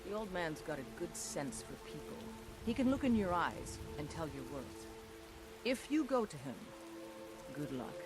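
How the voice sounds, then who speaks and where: A woman's voice: sits low, sing-song, normal speed, music in the background. A woman playing a proud, battle-hardened mercenary warrior in a fantasy video game, with a haughty, clipped manner. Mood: stern, proud. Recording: clear hiss and rain behind.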